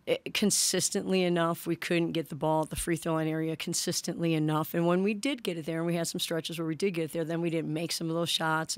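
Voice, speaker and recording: A woman in her forties, a head basketball coach, answering questions at a postgame press conference in a measured way, often restarting her sentences. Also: fairly low pitch, clear, resonant tone, no echo. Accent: American. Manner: measured, frequent restarts and "you know". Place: press room in front of a sponsor backdrop. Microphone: desk microphone on a stand.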